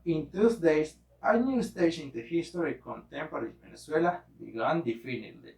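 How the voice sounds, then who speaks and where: Echoey, distant man reading a halting report. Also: on the high side, animated, gravelly, darkish tone. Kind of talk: scripted presentation read aloud. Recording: echoey room, distant microphone.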